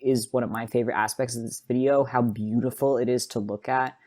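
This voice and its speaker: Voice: medium pitch, fairly even intonation, fairly quick, darkish tone. A podcast host talking through an analysis in a casual, conversational and enthusiastic way, often adding "like" and checking in with "right?".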